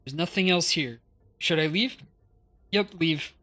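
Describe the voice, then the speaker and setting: A woman's voice: fairly low pitch, a little slow, a bit bright. A woman game streamer giving casual live commentary while playing.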